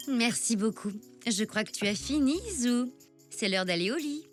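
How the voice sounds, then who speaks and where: A woman voicing a gentle, caring mother zebra in a children's cartoon, with a tender manner. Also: a little high, animated, normal speed, faint music behind, lightly acted. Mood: pleased, gentle. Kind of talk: French children's cartoon dialogue.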